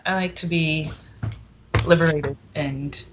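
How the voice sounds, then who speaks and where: A woman's voice: sits low, steady tone, slow pace. A woman in her twenties chatting candidly and frankly as an interview guest, often giggly. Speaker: adult film performer guest being interviewed. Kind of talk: casual, candid interview chat. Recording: dull, muffled sound with background noise.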